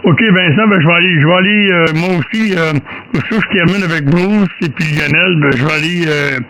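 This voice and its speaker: A man talks casually as an amateur radio operator, halting and repeating his phrases. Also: sits high, pushed and bright, rough recording. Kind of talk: casual radio contact chat. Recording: faint radio noise and clicks.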